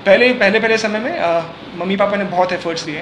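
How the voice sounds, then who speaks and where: A man's voice: sits high, a little fast, a bit bright. A young man with an Indian accent answering interview questions conversationally, explaining things and slipping in English phrases. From Mumbai, India. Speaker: interview guest, filmmaker. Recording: echoey room with faint noise.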